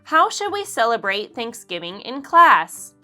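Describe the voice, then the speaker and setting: A woman's voice: fairly high pitch, sing-song, unhurried, pushed and bright, faint music behind, full character voice. A woman voicing an encouraging female schoolteacher in a storybook, addressing her class.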